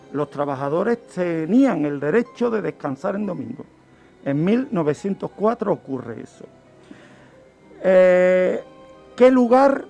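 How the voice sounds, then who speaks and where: A man, telling true-crime cases as a guest criminologist on a radio show, explaining deliberately. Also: sits high, creaky, a little mellow. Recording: music bed under the voice. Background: background music.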